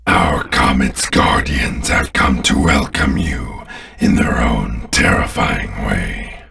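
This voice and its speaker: A man voicing an ancient, menacing alien warlord in a video game, growling and gravelly, with an imperious, contemptuous, grandiose delivery. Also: extremely low pitch, pushed and bright, recorded from a distance, heavily acted. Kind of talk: dramatic video game villain voice acting.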